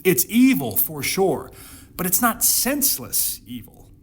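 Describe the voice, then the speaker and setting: A man hosting a Bible podcast, delivering dramatic scripted commentary and scripture reading with emphatic repetition, rhetorical questions and deliberate pauses. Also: high-pitched, very animated, slight background noise. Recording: music playing under the voice.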